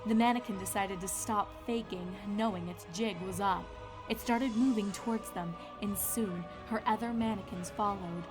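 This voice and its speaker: A woman's voice: mid-range voice, moderate pace. A woman reading scripted story narration as the narrator of a fan fiction. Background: music.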